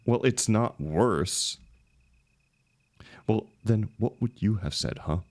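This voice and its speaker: Very deep, gravelly young heartbreaker, acted by a man. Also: lively intonation, a little mellow, dry recording. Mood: teasing, defensive. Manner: casual, halting, emotional, swinging emphasis. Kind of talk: intimate one-sided audio roleplay.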